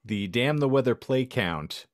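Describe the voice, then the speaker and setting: A man's voice: medium pitch, lively intonation, unhurried, dry recording, clean studio sound. A man co-hosting a podcast, talking casually in a wry, wordy way with an ornate vocabulary. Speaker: podcast co-host.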